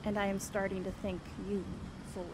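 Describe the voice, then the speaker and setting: A woman's voice: medium pitch, little pitch movement, a little fast, close to an everyday voice. A woman playing a determined young woman seeking the truth in ancient Greece, speaking boldly and earnestly in an audio drama. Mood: defiant. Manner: bold, earnest, declamatory. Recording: faint background noise.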